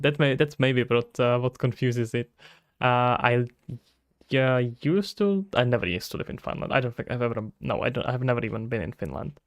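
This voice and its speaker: A man's voice: mid-range voice, creaky, no echo, studio quality. Czech man muttering through a dev stream. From Czech Republic. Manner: thinks aloud, hums and mutters to himself, reads and answers chat questions. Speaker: game developer streamer. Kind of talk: casual live-stream chat while working.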